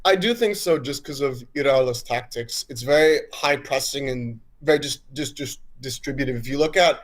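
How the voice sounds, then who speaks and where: Swiss-raised American caller, international accent. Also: male voice, mid-range voice, moderate pace. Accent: mixed American and Swiss international. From Switzerland. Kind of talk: casual football chat.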